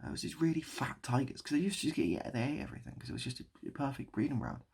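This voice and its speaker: Husky, gravelly British man rambling. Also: medium pitch, lively intonation, rapid-fire, darkish tone. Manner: mock-nostalgic, repeats phrases and asks the listener to remember. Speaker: online video creator and host. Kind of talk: rambling improvised storytelling to camera.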